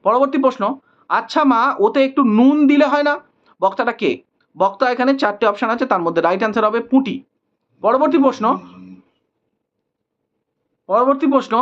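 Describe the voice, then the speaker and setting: A teacher giving a question-and-answer exam lesson in a didactic way, repeating questions and answers for emphasis. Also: high voice. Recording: slightly dull lo-fi sound.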